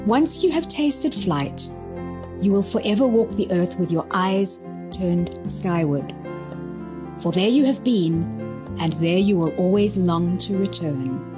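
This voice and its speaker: A woman's voice: fairly low pitch, expressive, slow pace, over music. A woman, hosting a conversational podcast interview. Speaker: podcast host.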